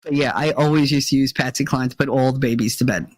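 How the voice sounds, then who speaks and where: A middle-aged woman with a deep, chesty voice, hosting a livestream in casual, profane chat while reading viewer comments. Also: fairly even intonation, quick pace, a little mellow, no echo. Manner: chatty and profane, rambling with many fillers. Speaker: livestream host.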